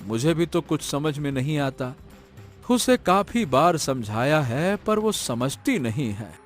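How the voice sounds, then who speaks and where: A man's voice: fairly high pitch, very animated, takes it slowly, soft background music. A man playing a poor, exasperated village woodcutter husband in a cartoon story, grumbling and whining. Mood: regretful, troubled. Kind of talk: Hindi cartoon moral story voice acting.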